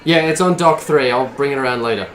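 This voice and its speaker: A man's voice: mid-range voice, quick pace, reverberant, natural voice, low-quality recording. A man playing a cavalier, wisecracking space smuggler in an audio drama, breezy and glib. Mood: wary. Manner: breezy, glib, mock-innocent.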